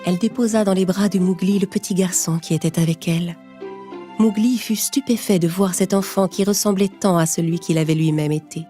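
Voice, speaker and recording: A woman's voice: fairly low pitch, brisk, warm tone. A woman storyteller reading a scripted children's tale in narration style. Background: music.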